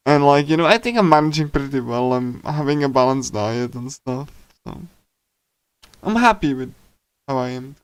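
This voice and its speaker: Male streamer, clear smooth voice, casual rambling chat, halting phrasing, frequent fillers, dry sound. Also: medium pitch, expressive, dry recording. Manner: hesitant, halting, with many filler words. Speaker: livestreamer reading a visual novel.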